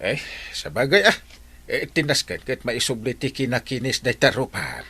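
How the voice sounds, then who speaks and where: A man's voice: mid-range voice, big pitch swings, moderate pace, pushed and bright, slightly rough, full character voice. A man with an Ilocano accent playing a grumbling, exclamatory village captain in a radio comedy drama. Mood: flustered. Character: grumbling, excitable male village captain, comic.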